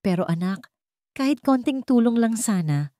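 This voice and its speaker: A woman's voice: a little high, animated, moderate pace, mellow, dry recording, clean studio sound, voice pushed up, natural voice. A woman voicing a humble, hurting elderly father in an audio drama, meek, apologetic and pleading. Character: humble, hurting elderly father asking his children for help.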